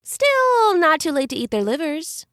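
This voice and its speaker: A woman's voice: mid-range voice, measured pace, a bit bright, smooth, no room sound, clean studio sound, close to an everyday voice. A woman voicing a speedy, chatty male messenger god whose words run together in a jumble. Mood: indignant.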